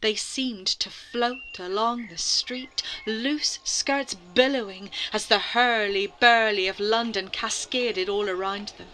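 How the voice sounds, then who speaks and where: A woman storyteller narrating a children's bedtime story in an expressive way, with lingering dramatic phrasing and soft passages. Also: medium pitch, slow, hardly any pauses, rough recording.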